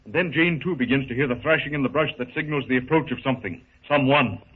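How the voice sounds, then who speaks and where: A man's voice: mid-range voice, fast, slightly rough. A man narrating a 1930s radio adventure serial in a formal, dramatic storytelling manner. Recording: tinny, noisy 1930s radio recording.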